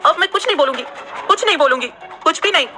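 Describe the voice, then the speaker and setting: A woman voicing a jittery, complaining young woman who stammers and turns shrill when frightened. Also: quick pace, sharp tone. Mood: flustered.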